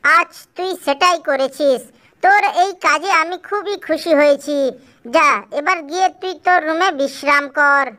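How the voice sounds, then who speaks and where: A strict yet loving cartoon mother bird , voiced by a woman. Her voice is extremely high and bright, and she instructs in a firm, motherly way. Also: a little slow. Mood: approving, motherly. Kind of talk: children's cartoon voice acting.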